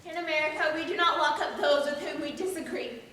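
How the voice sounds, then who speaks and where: A woman's voice: high voice, quick pace, a bit bright. A woman on stage playing an earnest, formal 19th-century minister's wife who pleads her case with reason. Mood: solemn appeal. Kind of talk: stage play acting. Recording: echoey hall, distant mic, faint noise.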